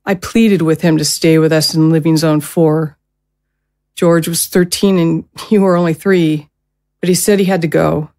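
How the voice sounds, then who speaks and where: A woman playing a gentle, protective middle-aged mother in an audio drama, speaking in a soothing, careful way. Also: low-pitched, fairly even intonation, moderate pace. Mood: sorrowful.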